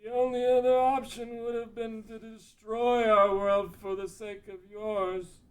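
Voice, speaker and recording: A man's voice: high-pitched, level tone, measured pace, low-quality recording. A man playing a grave old sage and advisor in a table read of a fan script. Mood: grave, reassuring.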